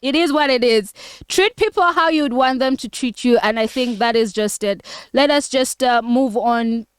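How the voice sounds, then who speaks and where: Insistent woman with a high, clear voice. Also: normal speed, no echo, clean studio sound. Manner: emphatic, insistent, repeats herself for emphasis. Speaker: talk show co-host. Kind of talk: heated debate.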